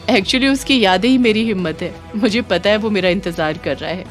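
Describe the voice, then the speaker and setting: A woman's voice: medium pitch, moderate pace, natural voice. A woman plays a brave young army wife, devoted and self-sacrificing. She speaks earnestly in a mid-range voice over music, in story melodrama style. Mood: resolute, devoted. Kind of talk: audio story melodrama acting.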